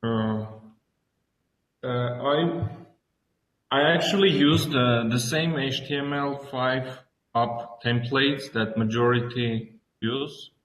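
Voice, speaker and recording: A man with an Armenian accent presenting his student project on a video call, halting as he searches for words. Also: mid-range voice. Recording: echoey room on a video call.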